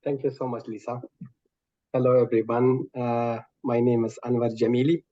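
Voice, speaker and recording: A man with an Afghan accent, introducing himself on a video call, halting with many fillers. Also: medium pitch, little pitch movement, dark tone. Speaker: committee member. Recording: muffled video call audio.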